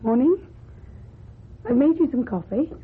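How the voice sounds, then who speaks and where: An actress with a British accent playing a guarded young runaway woman in a radio drama, giving short, plain replies in a husky, breathy voice. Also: high voice, animated, unhurried, full character voice. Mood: polite, evasive. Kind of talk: old-time British radio drama acting. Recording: thin, muffled old radio recording.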